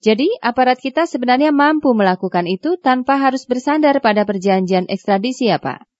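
Young female radio presenter. Formal, measured delivery, a little high-pitched, in a dry studio. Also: animated, a little mellow, no echo, dull audio. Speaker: radio host.

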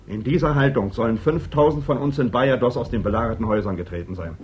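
An actor playing a militant worker who argues pointedly and deliberately, with bitter irony, in a radio play. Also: male voice, mid-range voice, lightly acted. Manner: pointed, ironic, deliberate arguing. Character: militant Spanish worker, probing, bitter and ironic. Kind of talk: German radio play dialogue. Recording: dull old radio recording, faint hiss.

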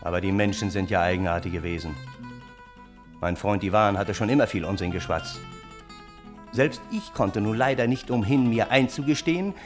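An actor playing a wry, wordy gentleman who narrates a German radio play in measured, ornate, ironic phrases. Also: lowish voice, music in the background, natural voice. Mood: wry, confiding. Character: wry, wordy storytelling uncle, Russian gentleman, scheming and earnest.

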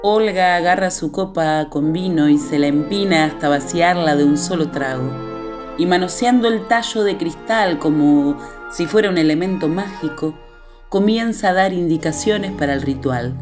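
A woman playing the grave, measured narrator of a séance in a radio play, with an Argentine accent. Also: on the low side, darkish tone, soft background music, natural voice.

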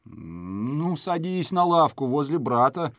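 A male village schoolteacher from a storybook, stern but kindly. His fairly high voice moves animatedly up and down, recorded close and dry. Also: sing-song, slow pace, a bit bright, no room sound, heavily acted. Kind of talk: audio storybook acting.

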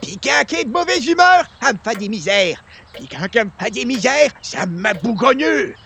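A man's voice: very high voice, big pitch swings, fairly quick, gravelly, sharp tone, a little hiss or hum, heavily acted. A man playing a cranky old grandpa neighbour with a Québécois accent, grumbling theatrically in a radio comedy. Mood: whiny, complaining. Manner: grumbling, theatrical, rambling chains of complaint. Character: cranky old grandpa neighbour who adores his mother.

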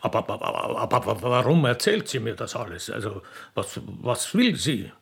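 A man's voice: mid-range voice, big pitch swings, brisk, vocal fry, breathy edge, heavily acted. A man playing a vain, dim-witted court official in a comic radio play, haughty and stammering, with an Austrian accent. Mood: flustered.

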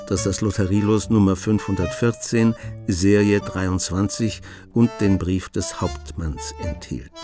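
A man tells the story as narrator of a radio drama. His voice is fairly low and follows a written script, with soft music underneath.

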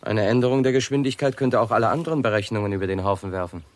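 An actor playing a blunt, impatient, sceptical scientist in a 1960s German radio play. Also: male voice, on the low side, very fast, close to an everyday voice. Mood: irritated, doubtful. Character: blunt, sceptical male scientist, quick to swear.

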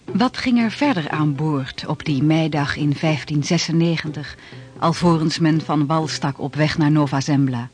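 An actor serving as sober narrator of a historical radio drama, reading scripted narration. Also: male voice, on the high side, moderate pace, faint music behind, close to an everyday voice. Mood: measured.

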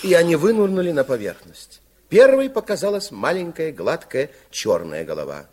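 A man's voice: on the high side, big pitch swings, moderate pace, darkish tone. A man narrating a radio fairy tale with theatrical, dramatic storytelling.

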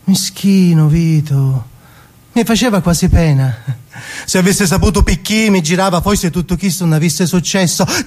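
An actor playing a simple, tender, excitable boy in a theatrical radio monologue, with a Sicilian accent, moving between hushed recollection and shrill outbursts. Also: normal speed. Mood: furious, threatening.

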